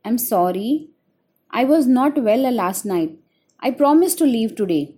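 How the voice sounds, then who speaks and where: A woman plays a sleepy, kind-hearted male owl from a bedtime storybook. The voice is fairly high and mellow, with a timid, earnest way of talking. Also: animated, moderate pace, husky. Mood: apologetic. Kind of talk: children's storybook character voice.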